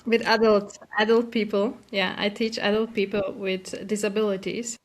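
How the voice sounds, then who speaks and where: A young woman, a teacher in training, speaking in halting English and searching for words as a guest in a casual conversation. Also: mid-range voice, flat delivery. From Slovakia. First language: Slovak.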